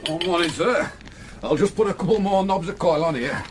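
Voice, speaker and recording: An actor playing an inn landlord with a Northern English accent, homely, deferential and a little hesitant, in a radio drama. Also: male voice, high-pitched, a little fast, background noise, close to an everyday voice.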